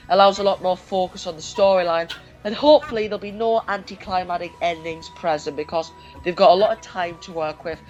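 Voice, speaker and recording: A man's voice: sits high, muffled recording. A young man shares opinions in a casual fan conversation. He speaks as a guest or co-host, in an informal, conversational way.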